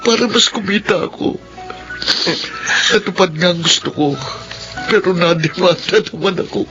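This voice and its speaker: An actor playing Diego, a contractor full of guilt and remorse, in a radio drama. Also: male voice, high voice, normal speed, sharp tone. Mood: remorseful. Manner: remorseful, confessional. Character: Diego, guilt-ridden contractor facing a lawsuit. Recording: muffled radio drama audio with music.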